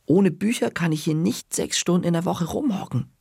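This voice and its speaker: Male narrator doing a bored, high-voiced kid brother, whiny, pleading, husky edge. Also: moderate pace, dark tone, no echo, studio quality, heavily acted. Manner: whining, pleading.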